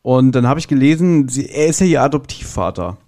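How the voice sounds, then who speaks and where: Podcast guest, male, relaxed mellow timbre, informal review conversation. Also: medium pitch, a little fast. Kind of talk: casual review chat.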